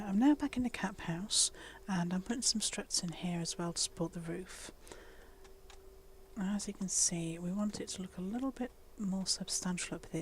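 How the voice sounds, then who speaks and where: A woman talking through a game build in a soft, half-whispered voice, wheezy from a chest infection. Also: on the low side, slight background noise. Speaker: Minecraft building tutor. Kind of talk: casual game tutorial voice-over.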